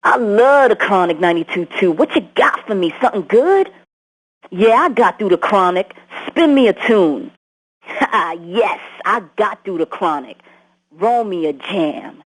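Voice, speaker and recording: A woman's voice: mid-range voice, expressive, a little slow, poor audio. A woman playing a casual, eager fan calling in to a radio station. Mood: keen. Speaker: radio caller.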